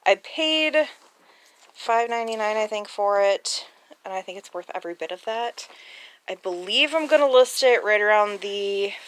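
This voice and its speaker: A woman's voice: medium pitch, animated, normal speed. A woman chatting casually and informally as a reseller vlogger, with mild slang.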